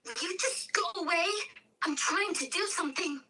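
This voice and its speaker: A woman's voice: very high-pitched, normal speed, recorded from a distance, noisy background. A woman playing a desperate, devout and defiant young woman in a dramatic, pleading script read. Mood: defiant, exasperated.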